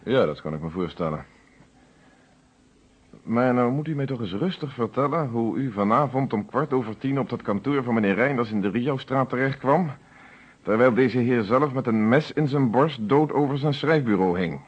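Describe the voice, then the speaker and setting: An actor playing a methodical police inspector in a radio drama, questioning formally and with authority in a husky voice, on an old recording. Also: male voice, mid-range voice, animated, moderate pace, highs cut off. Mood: businesslike.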